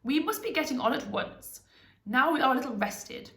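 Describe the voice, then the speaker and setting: A woman's voice: high voice, lively intonation, moderate pace, fairly bright tone, reverberant, voice pushed up. A woman voicing a clever, proud old male wizard in a storybook, speaking in an emphatic, commanding, confident way. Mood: brisk, urgent.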